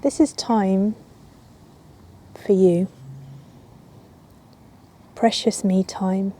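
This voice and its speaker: A woman yoga teacher guiding a relaxation in a soothing, unhurried way with long pauses. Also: mid-range voice, steady tone, warm tone, slight background noise. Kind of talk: guided yoga nidra relaxation. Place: outdoors in a garden. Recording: outdoor ambience with birdsong. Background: birds and garden ambience.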